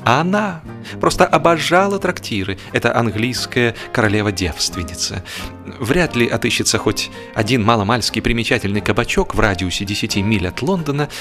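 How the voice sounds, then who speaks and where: A man reading a humorous novel as a scripted audiobook, telling it in an expressive, literary way. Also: mid-range voice, a little fast, faint music behind. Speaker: audiobook narrator.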